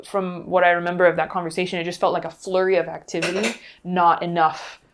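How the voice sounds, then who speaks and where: Woman interview guest, slightly low voice, talks through examples kinda haltingly and thoughtfully. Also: flat delivery, background noise. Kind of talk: conversational interview, recounting cases.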